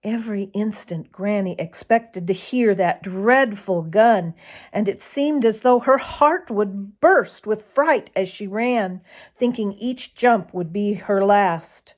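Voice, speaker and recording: A woman narrating a children's story in a deliberate, dramatic way, as if to young listeners. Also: mid-range voice. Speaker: storybook reader.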